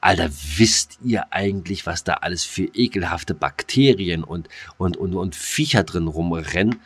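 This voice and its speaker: A man's voice: low-pitched, moderate pace, husky. A man co-hosting a podcast, chatting casually in a rambling way with lots of fillers, restarts and crude slang. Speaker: podcast co-host. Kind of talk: casual chat.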